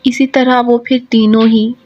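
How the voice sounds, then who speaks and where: A woman narrating a scripted children's story in a simple, deliberate way. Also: a little high, flat delivery. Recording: muffled lo-fi audio, loud music behind.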